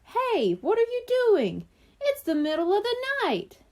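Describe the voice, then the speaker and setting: An excitable little boy played by a woman narrator pipes up in a high, squawky voice. He exclaims with big swoops of pitch, like a lively kid brother in a children's storybook. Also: a little slow, exaggerated voice. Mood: indignant squawk.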